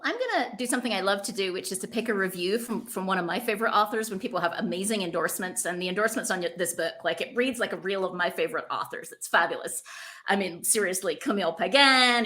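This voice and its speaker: A woman interviewer with a bright, forward voice at an ordinary female pitch. She talks conversationally as the host of a book chat, effusive and full of enthusiasm. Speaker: podcast host interviewing an author.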